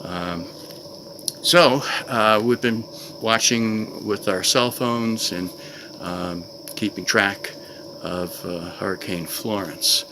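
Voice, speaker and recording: A man's voice: fairly low pitch, takes it slowly. An older man talking casually to camera in a plain-spoken, conversational way, like a vlogger reviewing gear. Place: outdoors in a wooded clearing. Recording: noisy outdoor recording with insect ambience.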